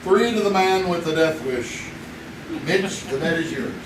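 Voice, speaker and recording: An actor playing a joking young man who deals at a card game in a live old-time radio play, teasing and unhurried, recorded from a distance on a theater stage. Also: fairly high pitch, animated, roomy sound, noisy background. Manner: teasing, laughing.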